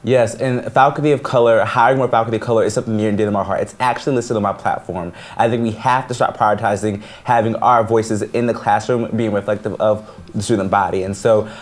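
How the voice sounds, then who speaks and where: A man's voice: lowish voice, steady tone, fast. A young man giving a confident rebuttal as a candidate in a student government debate. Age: young adult.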